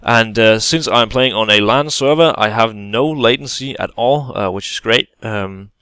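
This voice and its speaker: A man talks through a game tutorial in a relaxed, halting way, often pausing and restarting. His voice is smooth and clear. The recording is dry and close, with no room sound. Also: fairly low pitch, no echo. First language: Danish. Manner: hesitant, many fillers and restarts, casual explaining. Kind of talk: gameplay tutorial voice-over.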